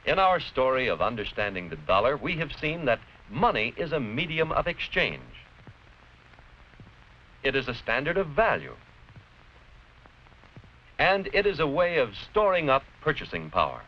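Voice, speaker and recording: An American man giving scripted educational narration in a deliberate, clearly explanatory, didactic manner. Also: mid-range voice, very animated. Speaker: narrator-presenter of an educational film. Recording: dull, noisy vintage educational film soundtrack.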